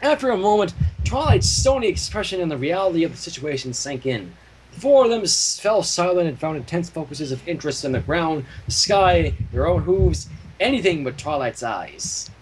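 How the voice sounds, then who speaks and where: Expressive male storyteller with lively pitch. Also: normal speed, a little hiss or hum, rough recording. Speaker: fan fiction reader and narrator.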